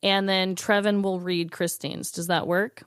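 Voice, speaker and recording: A woman podcast host chatting casually and running a game in an animated way. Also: mid-range voice, measured pace, clean tone, no echo, studio quality. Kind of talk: casual banter and game hosting.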